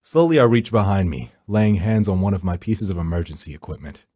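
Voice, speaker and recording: Low, dark-voiced man narrating horror. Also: lively intonation, a little fast, no room sound, studio quality. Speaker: narrator of a visual novel. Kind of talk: first-person dramatic horror narration.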